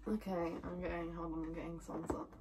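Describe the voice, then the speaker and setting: A woman's voice: fairly low pitch, little pitch movement, a little fast. A young adult woman vlogging in casual chat, recorded by a camera a short distance away in a small bedroom. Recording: noisy bedroom camera audio.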